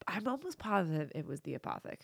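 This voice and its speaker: A woman's voice: fairly low pitch, big pitch swings, fast, creaky, no echo, clean studio sound. A woman with a stuffy nose from a cold, chatting casually as a co-host with a hesitant, filler-heavy way of talking. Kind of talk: casual chat.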